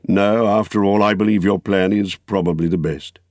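A man voicing a grave old wizard in a storybook reading, patient, watchful and stern, speaking in a measured, thoughtful way. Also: lowish voice, steady tone, darkish tone, no room sound, studio quality, lightly acted.

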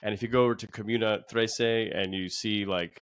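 A man hosting an interview, chatting in a relaxed, rambling way with many ums and likes. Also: on the low side, a little fast, clean tone. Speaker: host. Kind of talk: conversational interview.

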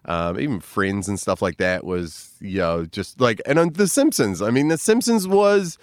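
Kiwi comedian guy rambling on a podcast, lowish voice, close dry mic. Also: expressive, moderate pace, no room sound. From New Zealand. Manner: rambling, self-deprecating, lots of fillers. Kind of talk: casual interview chat.

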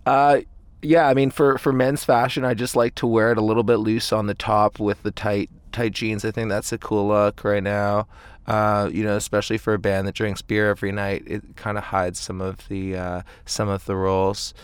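A man's voice: lowish voice, flat delivery, normal speed, smooth, no room sound. A man, an indie band frontman, chatting casually as an interview guest in a hesitant, meandering way. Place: inside a parked tour van.

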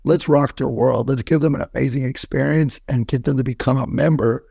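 A man with a hoarse, scratchy voice, co-hosting a podcast and giving upbeat, coach-like motivational talk. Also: medium pitch, steady tone, a little fast, warm tone, dry recording, studio quality. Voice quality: scratchy, gravelly. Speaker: podcast co-host.

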